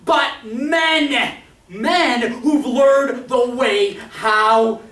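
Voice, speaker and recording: A woman playing a manic, bitter male artilleryman in an old-time radio drama, stammering and excitable, given to shouting. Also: a little high, extremely slow. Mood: manic, grandiose. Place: stage performance in a roomy theatre. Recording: echoey stage, captured from far off.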